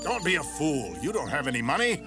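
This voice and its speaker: Grumbling, animated cartoon father. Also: on the high side, brisk. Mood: scolding. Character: stern, weary aging woodcutter father, gruffly caring. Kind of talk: animated fairy tale dubbing. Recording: music under the dialogue.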